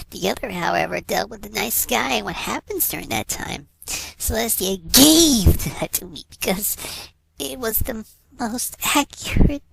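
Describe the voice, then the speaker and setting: A woman's voice: on the high side, vocal fry, a bit bright, slight background noise, rough recording. A woman voicing a frantic, unhinged young unicorn pony with a manic, over-sweet, dramatic delivery in a fan fiction reading. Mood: anxious, upset. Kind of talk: fan fiction audio reading, cartoon character acting.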